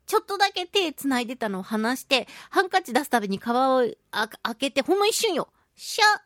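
A woman's voice: high voice, big pitch swings, brisk, fairly bright tone, no echo, studio quality. A woman hosting a radio show, telling stories casually and animatedly, acting out quoted remarks. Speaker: radio show host, voice actress. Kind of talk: casual radio talk, storytelling.

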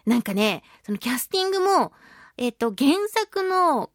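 A woman's voice: sits high, moderate pace, no room sound, clean studio sound. A woman hosting a radio show, chatting casually and expressively in a solo talk. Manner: animated, expressive, acts out reactions and quotes. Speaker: radio show host, voice actress. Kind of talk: casual monologue talk, recounting a film outing.

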